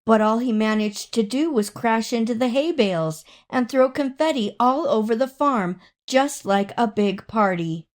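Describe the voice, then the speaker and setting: A woman reading a children's story aloud in an expressive, dramatic way, drawing out words for emphasis. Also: on the high side. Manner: dramatic, with drawn-out emphasis and acted sound words. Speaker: storybook reader.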